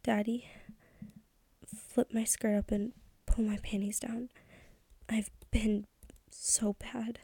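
A woman's voice: on the high side, level tone, takes it slowly, long pauses. A young woman whispering close to the mic in a pleading, submissive tone for an intimate audio roleplay. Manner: breathy whispering, close and hushed.

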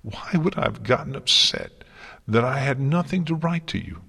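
A man's voice: low voice, expressive, measured pace, a bit bright, slightly rough, heavily acted. A man voicing a distraught young female unicorn student in a story, emphatic, bitter and anguished. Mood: bitter sarcasm. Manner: emphatic, anguished, sarcastic delivery.

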